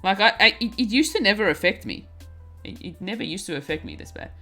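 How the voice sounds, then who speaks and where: A woman's voice: medium pitch, expressive, fast talker, bright, forceful tone. A young woman streaming while she crafts, chatting casually and giggling, often restarting her words as she talks to her chat. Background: faint lo-fi music.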